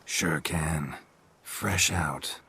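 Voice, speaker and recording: An actor playing a calm, dry, menacing mutant monster hunter in a video game, with clipped, deadpan delivery. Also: male voice, drawn-out words, full character voice. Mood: dry menace. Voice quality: very gravelly, husky low voice. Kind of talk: video game cutscene acting.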